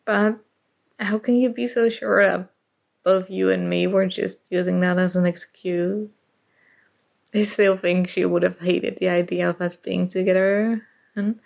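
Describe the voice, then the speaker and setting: A woman's voice: medium pitch, steady tone, a little slow, vocal fry, long pauses, a little mellow. A woman acting as a nerdy, flustered college student in an intimate ASMR roleplay, speaking hesitantly and emotionally with stammers. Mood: tender, unsure. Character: nerdy, flustered young female college student confessing a crush.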